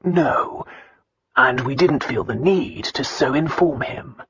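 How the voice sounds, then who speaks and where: A male solicitor played by a woman reader in a storybook drama, formal and measured. He lays things out in a matter-of-fact manner. The sound is slightly dull. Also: very deep voice, animated, a bit bright, breathy edge, dry recording, full character voice. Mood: deferential. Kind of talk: audiobook character acting.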